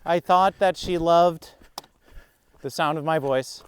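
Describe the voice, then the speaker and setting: A comedian co-hosting a podcast, chatting with wry jokes while walking an outdoor mountain trail. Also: male voice, on the high side, slow, clean tone. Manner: wry, joking. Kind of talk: casual chat on a hike.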